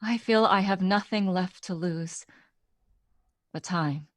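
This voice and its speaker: An actress playing a haunted woman who gives a measured, formal, halting confession to a killing in a gothic drama monologue. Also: lowish voice, no room sound. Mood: resigned, peaceful. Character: eerily calm woman confessing to a bloody killing.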